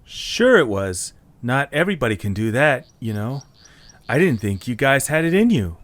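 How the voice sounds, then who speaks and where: A man's voice: medium pitch, very animated, normal speed, a bit bright, dry recording. A man acting an earnest, encouraging young male character in a scripted audio story, speaking conversationally. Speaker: narrator and voice of the main character.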